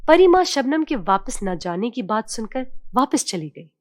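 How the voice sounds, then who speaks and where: A woman reads a children's story as its narrator. Her voice is fairly high and very animated, recorded dry with no room sound. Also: a little slow, no room sound.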